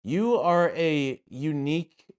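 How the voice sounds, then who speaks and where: A man's voice: fairly high pitch, lively intonation, takes it slowly, smooth. A man hosting a casual interview, talking to his guest in a chatty style. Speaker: interview host.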